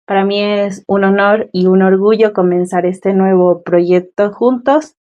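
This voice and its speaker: A woman's voice: mid-range voice, steady tone, unhurried, mellow, dull audio. A woman in her twenties with a Venezuelan accent, telling her personal story as an interview guest. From Mérida, Venezuela.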